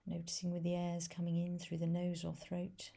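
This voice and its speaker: A woman guides a meditation in a fairly low, dark and mellow voice. Her tone is husky and breathy, and she speaks softly and gently. She leaves long pauses between short phrases. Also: completely flat delivery. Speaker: meditation teacher. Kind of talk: guided body scan meditation.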